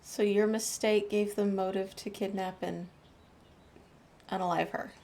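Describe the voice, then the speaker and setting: A woman's voice: medium pitch, level tone, measured pace, dark tone. Woman commenting casually on game story. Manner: reflective, reacting to the story. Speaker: gaming channel host.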